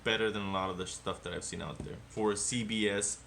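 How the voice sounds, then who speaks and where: A man co-hosting a casual movie review podcast, talking in an animated, rambling way and swearing often. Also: fairly low pitch, fast, gravelly, a little hiss or hum. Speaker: podcast co-host.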